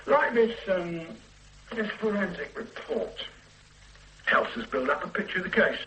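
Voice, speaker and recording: An actor playing a hard-pressing police detective who questions a suspect with needling sarcasm in a radio play. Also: male voice, sits high, expressive, measured pace, bright, forceful tone, exaggerated voice, poor audio. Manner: sardonic, needling interrogation. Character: hard-pressing male detective, sardonic, relentless. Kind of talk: 1970s radio drama acting.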